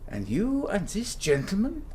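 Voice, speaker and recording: An actor playing a coldly polite German naval captain who interrogates a prisoner in a radio drama. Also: male voice, high-pitched, wildly swooping pitch, a little slow, darkish tone, full character voice. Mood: stern. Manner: clipped, formal, coldly polite. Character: stern, formal German naval captain presiding.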